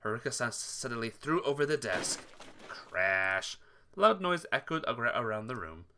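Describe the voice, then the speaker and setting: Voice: mid-range voice, big pitch swings, moderate pace, pushed and bright, a little hiss or hum. A playthrough host reading visual novel dialogue aloud with casual commentary, slipping into character voices along the way.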